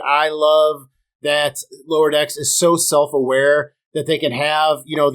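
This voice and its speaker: A man's voice: fairly high pitch, fairly bright tone. A man hosting a casual review podcast, chatty and opinionated, talking straight to his viewers while sick with a sore throat. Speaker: podcast host.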